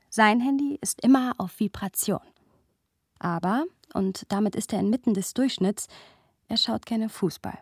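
Wry young female narrator for radio drama. Husky, intimate, playful, dry studio sound. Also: fairly high pitch, darkish tone, no echo. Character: wry, playful young woman narrating memories of her friend. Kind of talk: radio play narration and dialogue.

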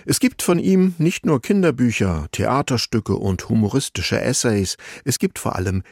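Guy hosting a music show on radio, low gravelly voice, reading from a script, very dry studio sound. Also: animated, moderate pace, no echo.

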